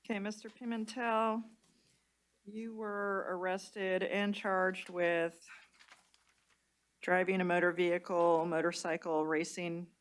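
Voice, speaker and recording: Brisk woman judge with a low voice. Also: level tone. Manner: brisk and procedural. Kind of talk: courtroom bond hearing.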